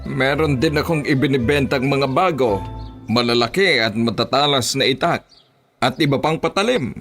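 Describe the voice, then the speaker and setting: Affable monster slayer played by a man, mid-pitched. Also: normal speed, a bit bright, faint music behind, natural voice. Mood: friendly. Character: Aldo, affable confident male aswang slayer, blade seller. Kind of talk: Tagalog horror audio drama acting.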